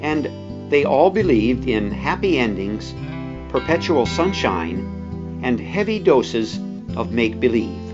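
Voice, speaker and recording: A man narrating a scripted documentary in a measured, pointed way with deliberate emphasis. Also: mid-range voice, big pitch swings. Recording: dull, band-limited sound with music behind.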